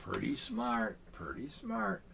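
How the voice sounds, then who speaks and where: A man reading a children's picture book aloud, with chuckling, animated asides to young listeners. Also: medium pitch, takes it slowly, creaky, slight background noise. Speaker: storyteller in a Santa persona.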